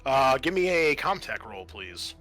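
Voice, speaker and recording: A man with a bright, forceful voice of average pitch acts as game master at a tabletop session. He narrates over music. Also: big pitch swings, unhurried.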